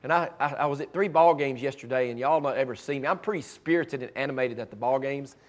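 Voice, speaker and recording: A man preaching a sermon, his voice raspy from cheering at ball games and allergies, with a fervent way of building to emphatic, repeated lines. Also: medium pitch, quick pace. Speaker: pastor.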